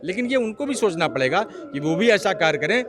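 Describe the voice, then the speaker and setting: A man's voice: sits high, sing-song, fairly quick. A man answering an interviewer as a local activist, making his case insistently and repeating phrases for emphasis. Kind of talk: interview answers, argumentative explanation. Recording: clear crowd noise behind.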